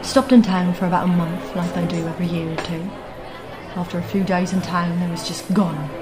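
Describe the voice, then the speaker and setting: A shrewd tavern keeper woman, played by an actress speaks low in folksy, knowing phrases. She is suspicious and confiding, as in a fantasy audio drama. Music plays behind her amid clear crowd chatter. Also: fairly even intonation, brisk, natural voice. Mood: grave, confiding. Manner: colloquial, knowing, conspiratorial.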